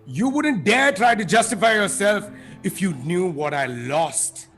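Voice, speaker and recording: A man's voice: sits high, lively intonation, a little slow, fairly bright tone. A man playing a bitter, vengeful disfigured prosecutor turned villain, accusing and dramatic, in a table read of a film script. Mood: accusing anger. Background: live music.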